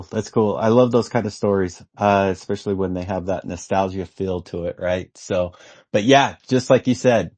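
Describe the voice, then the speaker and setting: A man hosting a podcast, asking his guests questions in a relaxed, casual way with many filler sounds. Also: fairly low pitch. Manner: laid-back, many uh fillers, drawn-out questions. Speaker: podcast host. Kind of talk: casual interview.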